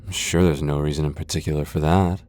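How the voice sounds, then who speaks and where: A very deep-voiced, centuries-old male vampire lord, acted out by a man for a fantasy audio roleplay. He speaks with haughty, sardonic disdain. His delivery is theatrical and taunting, that of a proud immortal who looks down on his listener. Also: fairly even intonation, quick pace, a little mellow, slight background noise. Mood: menacing, teasing. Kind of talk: ASMR fantasy roleplay acting.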